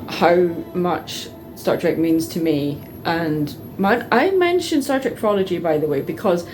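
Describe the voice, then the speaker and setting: A woman telling personal stories to her viewers in a casual, chatty, expressive way, like an enthusiastic fan. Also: fairly low pitch, a little slow, soft background music, poor audio. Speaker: vlogger.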